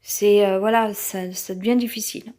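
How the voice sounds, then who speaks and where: A woman's voice: medium pitch, steady tone, moderate pace, dark tone. A woman with a French accent who has a cold, giving a rambling personal monologue as a vlogger, tense and hesitant, with many fillers and restarts.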